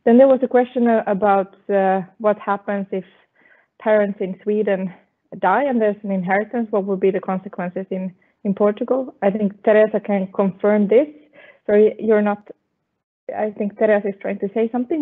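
A woman in her thirties answering tax questions on a webinar in a measured way, over earbuds on a video call. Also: medium pitch. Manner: measured, with fillers. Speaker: tax advisor panelist. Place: home room with framed art. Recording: tinny phone-like call audio.

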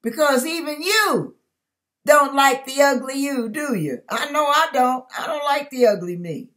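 A woman's voice: sits high, lively intonation, a little slow, fairly bright tone. An older woman giving an informal devotional talk to camera, conversational and emphatic, often asking rhetorical questions. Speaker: online ministry host. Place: furnished room at home.

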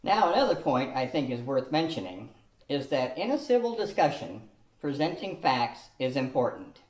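A man's voice: medium pitch, very animated, normal speed, natural voice. An older man playing Elmer, a patient, reasoned husband, reading carefully from a script in an amateur radio play. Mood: explaining. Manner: reads carefully from a script, lecturing tone. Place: studio room with a cloth backdrop. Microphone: stand mic on a tripod. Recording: echoey room.

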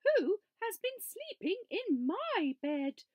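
A woman voicing a stern mother bear who speaks loudly and indignantly. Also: very high-pitched, sing-song, slow pace, dry recording, voice pushed up, full character voice.

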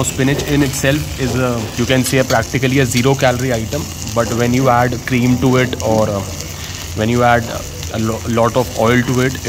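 A man hosting a food vlog, explaining the calories of dishes plainly as he films them in a restaurant. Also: medium pitch. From India. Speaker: food vlogger. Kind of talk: casual food review narration. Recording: restaurant background noise.

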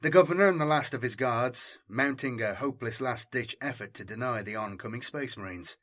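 A man's voice: mid-range voice, brisk, fairly bright tone. A man reading military science fiction as an audiobook narrator, in a scripted, dramatic storytelling style.

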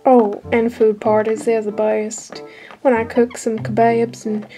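A woman's voice: on the high side, little pitch movement, a little slow, mellow, lightly acted. A woman playing a folksy, scolding older woman with a put-on Southern accent in an amateur skit. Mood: baffled, folksy. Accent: put-on American Southern.

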